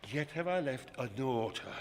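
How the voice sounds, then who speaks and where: A man's voice: mid-range voice, lively intonation, normal speed, a little hiss or hum, lightly acted. An actor playing a proud, aged king in classical Shakespearean radio drama, theatrical and declamatory, swinging from murmur to roar. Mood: furious. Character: proud, aged king raging at his daughter. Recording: reverberant hall-like radio drama sound.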